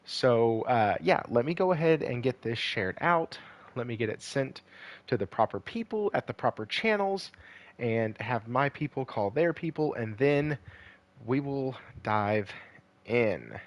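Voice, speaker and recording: A man hosting a casual gaming livestream, hoarse and losing his voice, rambling with lots of fillers and greeting viewers by name. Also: mid-range voice, lively intonation, a little slow.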